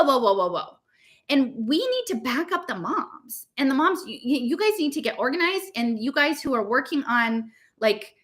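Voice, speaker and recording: American woman podcaster, highish forceful voice, exasperated rambling rant to her listeners, lots of rhetorical questions. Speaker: podcast host reading her blog aloud with commentary. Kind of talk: informal impassioned monologue to an online audience.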